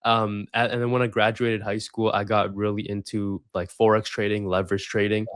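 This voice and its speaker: A young man who grew up in Sri Lanka tells his story as a podcast guest. His voice sits fairly low, and the sound is dry and close. He rambles loosely, with many fillers and restarts. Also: flat delivery, a little fast, clear, resonant tone, dry recording. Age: 20s. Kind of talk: casual interview storytelling.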